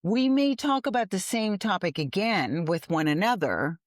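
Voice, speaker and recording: A woman co-hosting a podcast, talking in an unhurried, emphatic and reflective way. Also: mid-range voice, animated, no echo, studio quality. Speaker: podcast co-host. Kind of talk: reflective conversational chat.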